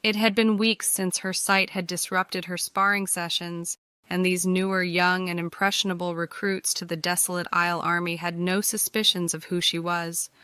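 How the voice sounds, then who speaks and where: A woman's voice: on the low side, flat delivery, moderate pace, sharp tone, clean tone, no echo, clean studio sound. A woman reading scripted fiction narration for an audiobook.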